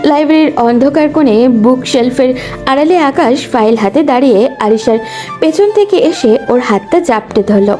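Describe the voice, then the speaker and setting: A woman's voice: high-pitched, lively intonation, fairly quick, dark tone, faint music behind. A woman giving scripted narration of a romantic story.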